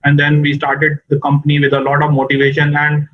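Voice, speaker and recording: A man from India speaking hesitantly as a startup founder on a virtual panel, filling pauses with uh and you know. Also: on the high side, monotone, highs cut off. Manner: hesitant, frequent uhs and you knows. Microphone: video call.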